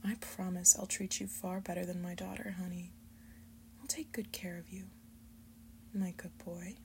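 A woman playing a seductive, doting mature succubus mother figure in breathy, whispered ASMR roleplay, slow, coaxing and soothing. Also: fairly low pitch, fairly even intonation, a little mellow, slight background noise, lightly acted. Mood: tender, seductive. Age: adult.

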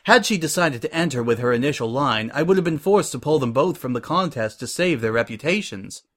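A man's voice: mid-range voice, lively intonation, fairly bright tone. Man as a composed, no-nonsense pony businesswoman. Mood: matter-of-fact. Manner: formal, measured, polite. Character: composed, no-nonsense female pony boss, stern but kind.

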